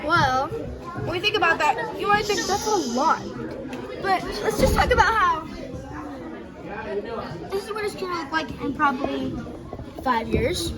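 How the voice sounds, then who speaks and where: A woman's voice: extremely high pitch, lively intonation, unhurried, long pauses. A ten-year-old girl giving a class presentation, hesitant and restarting her sentences. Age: child, about ten. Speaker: student presenter. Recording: loud crowd and noise behind.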